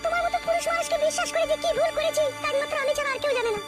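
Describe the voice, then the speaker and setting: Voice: very high voice, steady tone, very fast. A performer voicing an aggrieved, defiant wife in an adult comedy cartoon, answering back with whining indignation. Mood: hurt, indignant. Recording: very loud music under the voice.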